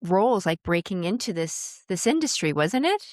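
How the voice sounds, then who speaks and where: A woman's voice: on the low side, very animated, normal speed, no echo, studio quality. A woman of about sixty hosting an interview podcast, moving between a prepared introduction and conversation with a guest. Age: around 60.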